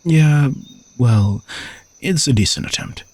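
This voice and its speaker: A man playing an arrogant, teasing young knight bodyguard who is gruffly dutiful, in an audio roleplay spoken to the listener. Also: mid-range voice, lively intonation, slow, a little mellow. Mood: cool, instructing.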